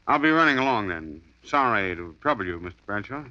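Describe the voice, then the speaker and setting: A wisecracking, breezy private detective in a 1950s radio play. His fairly low voice carries a hard, bright edge and sits close and dry. The sound is dull and lo-fi, like an old radio broadcast. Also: animated, brisk, soft background music. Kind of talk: 1950s radio drama acting.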